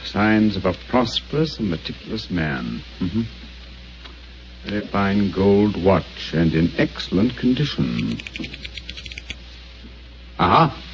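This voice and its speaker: A commanding radio-play detective: low, lively, precise, over faint hiss. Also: male voice, takes it slowly, long pauses, full character voice. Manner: crisp, precise, confident. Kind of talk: 1940s radio acting. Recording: muffled old radio broadcast, faint hiss.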